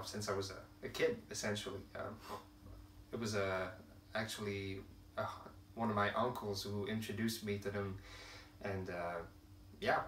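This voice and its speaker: A man's voice: fairly low pitch, fairly bright tone. A young man from Quebec whose first language is French, talking casually to camera as a channel host, with many fillers and restarts as he searches for words. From Quebec, Canada. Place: room at home with shelves of films and games. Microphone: camera at a distance. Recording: roomy, distant camera sound, faint hiss.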